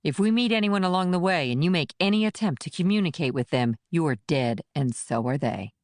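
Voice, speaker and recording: A man's voice: fairly high pitch, expressive, a little fast, dry recording, studio quality, voice pushed up, lightly acted. A man voicing a menacing, taunting female agent in an audiobook thriller. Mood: threatening. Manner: taunting, threatening. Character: cold menacing woman agent with gun. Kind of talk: audiobook narration.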